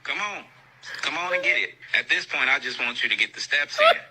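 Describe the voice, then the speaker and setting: A man's voice: mid-range voice, expressive, fairly quick, heavy background noise. An American man teasing and taunting someone in colloquial banter, repeating himself for effect. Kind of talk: mocking, teasing street-level banter.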